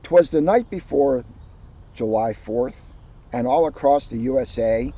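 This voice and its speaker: A man who is a police officer, reading a children's picture book aloud outdoors with lively storytelling. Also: medium pitch, lo-fi sound, slight background noise. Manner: lively storytelling, livens up on quoted lines. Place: outdoors on a hill at a historic house.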